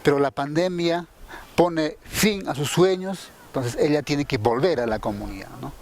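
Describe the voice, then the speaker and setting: A man's voice: a little high, normal speed, a little mellow. A man explaining how he writes, as a scriptwriter, searching for his words and often checking with a short tag question. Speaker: radio drama scriptwriter. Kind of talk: explaining his creative process.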